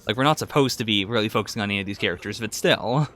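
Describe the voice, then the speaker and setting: A man co-hosting a podcast, giving casual, measured reaction commentary on anime. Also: fairly low pitch, a bit bright. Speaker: podcast co-host.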